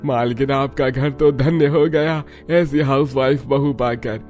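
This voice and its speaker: Man playing humble servant, grateful, raised pitch, cartoon story, music behind. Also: fairly even intonation, unhurried, a little mellow, natural voice. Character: grateful, humble household servant praising the daughter-in-law.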